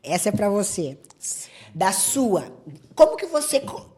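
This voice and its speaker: A woman's voice: medium pitch, sing-song, a little slow. Engaged woman hosting a podcast interview. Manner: warmly engaged, tells anecdotes. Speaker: podcast host. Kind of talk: interview conversation.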